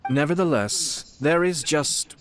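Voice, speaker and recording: An actor playing a refined, shrewd and gracious lord in a video game, speaking formally and without hurry. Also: male voice, a little high, faint music behind. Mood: measured, probing. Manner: formal, eloquent, unhurried.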